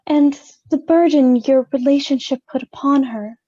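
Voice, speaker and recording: A woman's voice: sits high, normal speed, dark tone, lightly acted. A woman playing a falsely sympathetic mourner in a radio play, offering pointed condolences, on a dull stage recording. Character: insinuating, falsely sympathetic female mourner named Helen.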